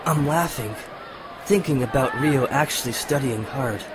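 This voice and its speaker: A man playing a friendly, easygoing teenage boy in an amateur audio drama, casual and relaxed. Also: medium pitch, a little slow, natural voice. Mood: amused. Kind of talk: amateur radio drama acting. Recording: noisy, busy crowd ambience behind.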